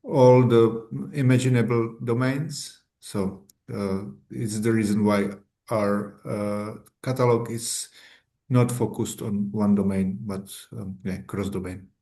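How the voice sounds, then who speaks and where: Slow, gravelly man. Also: on the low side, steady tone, warm tone. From Czech Republic. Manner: hesitant, many fillers. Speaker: library open science staff. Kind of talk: online meeting self-introduction. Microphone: video call.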